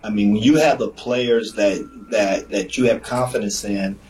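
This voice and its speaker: A man who played the sport, a guest on a radio show, telling stories in a lively, repetitive way. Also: on the low side, unhurried, rough recording. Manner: animated storyteller, repeats himself. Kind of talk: casual sports radio chat.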